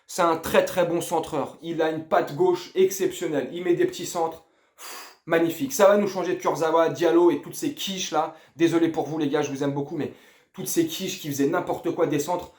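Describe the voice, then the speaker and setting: A man's voice: on the high side, steady tone, normal speed, darkish tone. A man giving casual, opinionated football commentary as a video host, enthusiastic, slangy and emphatic. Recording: roomy, echoing voice recording.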